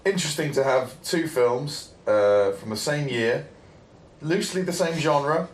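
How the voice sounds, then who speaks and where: A man's voice: fairly high pitch, animated, a little slow. English guy, 30s, podcast host, rambly and ums a lot, echoey room. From England. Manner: rambling, hesitant with many fillers, chuckling. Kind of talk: casual film podcast chat. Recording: echoey room, faint noise.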